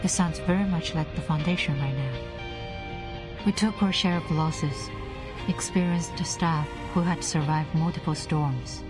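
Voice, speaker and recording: An actress playing a modest, dutiful female subordinate in a video game, speaking in a careful, deferential, formal way. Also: low-pitched. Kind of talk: dramatic video game voice acting. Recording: music under the voice. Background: background music.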